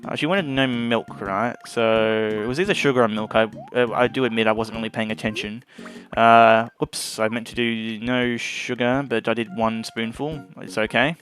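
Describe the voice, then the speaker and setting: A man's voice: mid-range voice, steady tone, normal speed, a bit bright. A man giving casual let's play commentary on a video game as its host. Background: game music.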